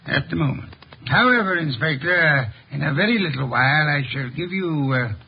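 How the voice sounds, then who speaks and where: An imperious genius detective from a 1950s radio mystery. His voice is husky and fairly high for a man, with pompous, precise phrasing and dry amusement. The recording is a dull vintage broadcast. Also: lively intonation, moderate pace. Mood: smug, measured. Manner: pompous, formal, precise diction. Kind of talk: 1950s radio drama acting.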